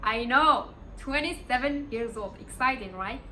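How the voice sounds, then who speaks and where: Slow-talking bright-voiced woman vlogger. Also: a little high, a little hiss or hum, poor audio. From Morocco. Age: 20s. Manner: earnest, encouraging, emphatic repeats. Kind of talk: casual motivational vlog.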